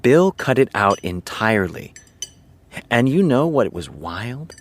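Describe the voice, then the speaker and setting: An actor playing a candid, wry TV showrunner, talking conversationally and pausing for effect in a naturalistic audio drama. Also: male voice, mid-range voice, big pitch swings. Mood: rueful, confiding. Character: candid male TV showrunner, wry and self-deprecating. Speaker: television writer character.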